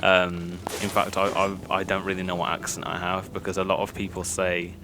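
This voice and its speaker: A man's voice: deep voice, completely flat delivery, normal speed, noisy background. A young man from Birmingham with a mild Birmingham accent, chatting self-consciously over gameplay with nervous laughs and many fillers. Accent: mild Birmingham English. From Birmingham, England. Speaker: gaming YouTuber. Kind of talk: casual gameplay commentary.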